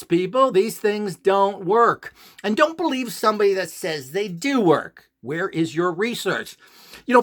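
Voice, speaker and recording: Male instructor with a slightly high, highly animated voice; dismissive, ranting delivery. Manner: scornful, ranting, full of rhetorical questions. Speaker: radionics instructor. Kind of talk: opinionated instructional monologue.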